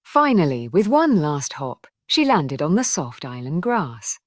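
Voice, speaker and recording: Animated woman narrating a children's story. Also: sits low, unhurried, no echo, studio quality.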